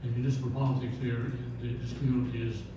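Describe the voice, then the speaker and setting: Older Newfoundland candidate, stumbling delivery, recorded from across a noisy, echoing room. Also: male voice, mid-range voice, little pitch movement, far from the mic. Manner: halting, repeats words. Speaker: council candidate. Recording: echoey hall recorded from afar.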